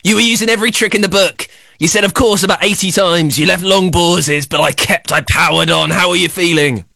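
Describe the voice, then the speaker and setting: A man's voice: sits high, a little fast, vocal fry, no echo, soft background music. A man hosting a children's radio show, running a quiz with a young caller in a playful, teasing, boastful way. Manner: teasing, boastful, exaggerated excitement.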